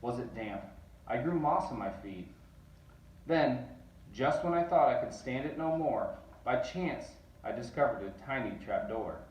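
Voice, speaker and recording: A man reading a rhyming children's story aloud in an expressive, narrating way. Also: mid-range voice, unhurried. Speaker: storybook reader. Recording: roomy, distant, dull sound.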